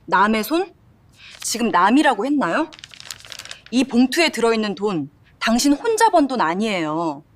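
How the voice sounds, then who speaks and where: A woman's voice: a little high, big pitch swings, moderate pace. A woman playing a cold, resolute wife. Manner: firm, cutting, clipped replies. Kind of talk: audio drama acting.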